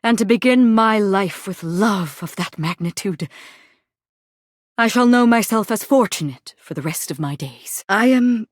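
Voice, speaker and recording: A woman voicing a proud, fervent warrior woman in a video game, theatrical and declamatory, in archaic high-flown speech. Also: lively intonation, a little slow, no room sound, studio quality. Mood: solemn, resolved. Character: proud, fervent female warrior, grandiose and lovestruck. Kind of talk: theatrical game character acting in archaic English.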